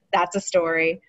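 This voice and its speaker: A woman's voice: lowish voice, a bit bright, poor audio. A middle-aged woman speaking up as a participant on a video call, earnest and emphatic. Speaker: workshop participant. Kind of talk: casual group video call. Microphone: laptop webcam on video call.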